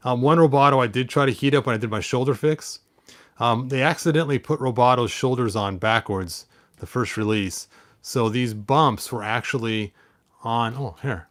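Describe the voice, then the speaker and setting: A man's voice: medium pitch, moderate pace, a bit bright. A man hosting a hobby vlog in casual, digressive chat, often half talking to himself as he handles things. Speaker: toy collector, video host.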